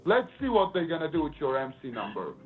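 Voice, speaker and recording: A high-voiced man with an Eastern European accent argues down a tinny phone line. He speaks English as a second language, pushing and confrontational. Faint music sits behind him. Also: wildly swooping pitch, fast talker. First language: not English. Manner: aggressive, broken English. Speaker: caller, freight broker.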